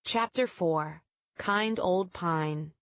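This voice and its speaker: A woman storyteller reading a scripted children's story aloud in deliberate, measured phrases. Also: lowish voice, fairly bright tone, no room sound.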